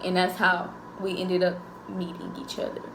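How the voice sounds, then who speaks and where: A woman, chatting and telling stories in an animated way during a couple's Q&A. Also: lowish voice, normal speed, vocal fry, slight background noise. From Jackson, Mississippi. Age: 20s. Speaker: co-host of a couple's channel. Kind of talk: casual Q&A chat, storytelling. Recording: echoey room.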